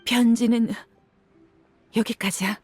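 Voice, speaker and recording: High-voiced, frank young mercenary woman. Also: steady tone, slow pace, a little mellow, breathy edge, soft background music. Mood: wistful, grateful. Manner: casual, frank, sighing. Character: frank young female desert mercenary, tough but caring. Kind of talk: dramatic game voice acting.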